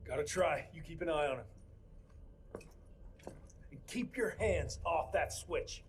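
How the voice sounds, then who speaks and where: A man playing a gruff, determined vampire hunter in a video game, terse and dry. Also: on the high side, expressive, unhurried, slight background noise. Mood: urgent. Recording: music under the voice.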